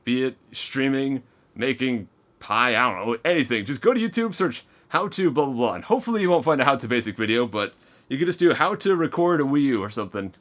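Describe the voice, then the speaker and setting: A man casually opening fan mail on camera, chatty and excitable, reading letters aloud and stumbling over his words now and then. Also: mid-range voice.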